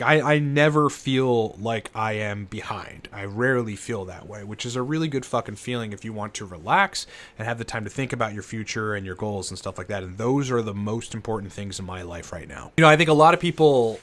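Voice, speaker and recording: A man's voice: mid-range voice, fairly bright tone. A man in his late thirties talks casually and unscripted, rambling with many "you know"s and "like"s and swearing freely. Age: 30s. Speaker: channel host, entrepreneur. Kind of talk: casual unscripted monologue to camera.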